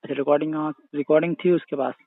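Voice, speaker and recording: A man's voice: on the high side, fairly even intonation, rapid-fire, dry recording. A man chatting casually on a recorded phone call, questioning and protesting defensively.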